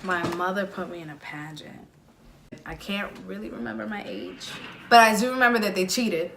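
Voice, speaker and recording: A woman's voice: medium pitch, normal speed, creaky, very noisy. A young woman, talking candidly as an interview guest, laughing often and dropping "like" and "you know" between phrases. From New York. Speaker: interview guest, makeup artist. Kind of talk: casual interview chat.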